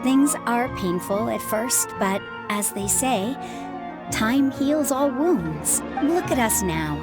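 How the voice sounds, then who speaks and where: A middle-aged retired adventurer in a video game, calm and reassuring. Her voice sits slightly high and steady. Game music plays clearly behind her. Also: slow.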